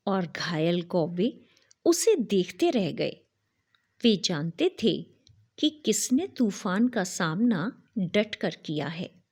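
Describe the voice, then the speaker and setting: Expressive, slow woman storyteller, lively pitch. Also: husky. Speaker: storyteller and podcast host. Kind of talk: expressive scripted children's folk tale narration. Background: music behind the voice.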